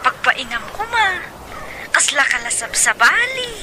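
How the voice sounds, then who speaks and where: A woman's voice: high-pitched, big pitch swings, measured pace, background noise. A woman with an Ilocano accent playing a seductive, possessive mistress in a radio drama, coaxing and cooing. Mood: coaxing, flirtatious.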